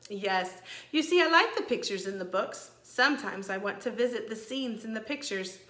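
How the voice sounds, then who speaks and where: A woman voicing a calm, gracious old enchantress in a children's storybook, speaking in a measured, precise way. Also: medium pitch, reverberant. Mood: serene, explaining.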